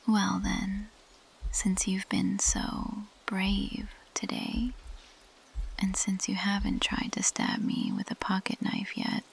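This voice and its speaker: A woman performs as an ancient female plant monster in an ASMR roleplay. She whispers in a breathy, creaky voice, unhurried and intimate. Her manner is teasing, possessive and predatory, with seductive curiosity. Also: medium pitch. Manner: intimate, teasing, slow and deliberate.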